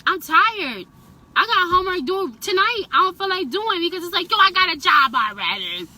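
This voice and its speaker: Brief: woman in her thirties, family vlog host, bright and emphatic. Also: very high-pitched, sing-song, normal speed. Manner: chatty, emphatic. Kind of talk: casual family chat. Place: in a car.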